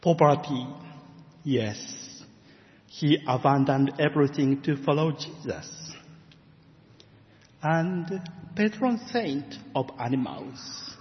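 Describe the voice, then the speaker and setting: A middle-aged man from Japan with a Japanese accent, preaching a sermon as a guest in a church, measured and careful, with gentle laughs. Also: on the high side, lively intonation. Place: church sanctuary. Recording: muffled sound with long church reverb.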